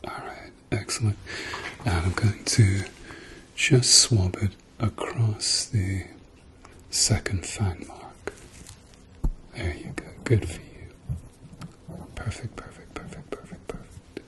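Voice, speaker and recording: A man doing a soft-spoken, often whispered ASMR roleplay as a gentle, reassuring doctor with a dryly playful manner. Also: lowish voice, slow pace, long pauses. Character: calm, reassuring male doctor treating a patient.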